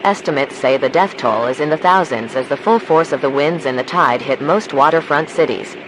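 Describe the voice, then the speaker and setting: Casting: man acting as a calm, formal emergency alert announcer, slightly high-pitched, measured delivery, clearly noisy recording. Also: natural voice. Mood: grave, instructive. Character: calm, formal male emergency broadcast announcer in a disaster scenario.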